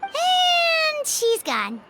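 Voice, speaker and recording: A woman voicing a tiny, childlike floating fairy companion in a video game, eager and curious, with a squeaky voice. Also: wildly swooping pitch, drawn-out words, exaggerated voice. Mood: concerned. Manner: speaks of herself in third person.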